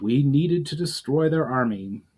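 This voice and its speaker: A man's voice: medium pitch, expressive, measured pace, darkish tone, husky, voice pushed up. A man voicing a blunt, hard-line commander in a fantasy story, clipped and insistent.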